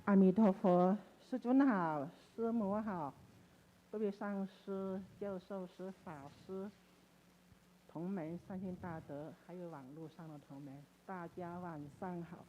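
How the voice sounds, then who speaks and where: A woman's voice: on the low side, measured pace, mellow, lo-fi sound, a little hiss or hum. A woman dharma master greeting an assembly respectfully and introducing her temple group.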